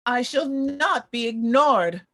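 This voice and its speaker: A woman's voice: high-pitched, expressive, slow, a bit bright, exaggerated voice. A woman playing an imperious older former child actress at a drama table read, grand, clipped and commanding. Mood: haughty.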